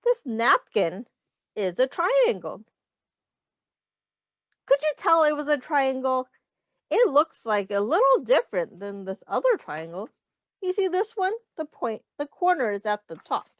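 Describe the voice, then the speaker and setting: A woman teacher reading a picture book aloud to young children, explaining in simple words, asking questions and pausing for answers. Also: high voice, wildly swooping pitch, no room sound, dull audio. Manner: addresses children directly, pausing for their answers.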